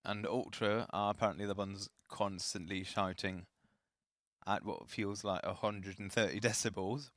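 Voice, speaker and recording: Man, esports caster, lowish voice, slightly raspy, chatty, dry recording. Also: steady tone, moderate pace, fairly bright tone, no echo. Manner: chatty, self-deprecating, apologetic asides. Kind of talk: live esports commentary.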